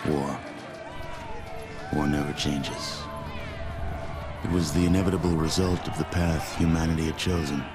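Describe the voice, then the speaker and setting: An actor playing a grave narrator of post-nuclear history in a video game, solemn, measured and portentous. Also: male voice, very deep voice, fairly even intonation, over music. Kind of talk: scripted cinematic game narration.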